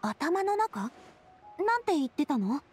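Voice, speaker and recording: A woman playing a confident, caring young leader in a Japanese anime game, speaking in a friendly, expressive manner. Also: sits high, measured pace, faint music behind.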